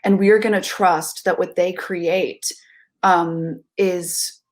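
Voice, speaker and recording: A woman's voice: fairly low pitch, steady tone, slow pace. A woman in her thirties giving reflective, earnest and emphatic interview answers as a theatre director, on a video call from a room at home. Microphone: video call with headphones.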